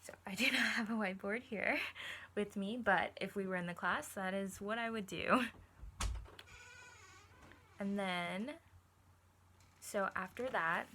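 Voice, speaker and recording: Female teacher for picture-book read-aloud, bright tone, chatty asides to children, some noise behind. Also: medium pitch. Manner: addresses listeners directly with questions. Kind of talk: animated read-aloud with asides to young listeners.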